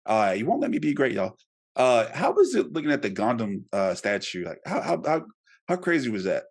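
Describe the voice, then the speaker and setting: A man jokes around on a casual podcast, his pitch swinging wildly from low to high. Allergies are throwing his voice off. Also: brisk, slightly rough. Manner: animated and joking. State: voice affected by allergies. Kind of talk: casual chat.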